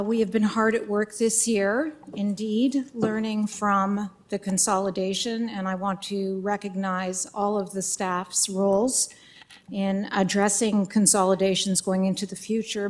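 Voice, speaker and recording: A woman presenting a report at a school board meeting, speaking loudly and projecting in a friendly, formal way. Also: mid-range voice.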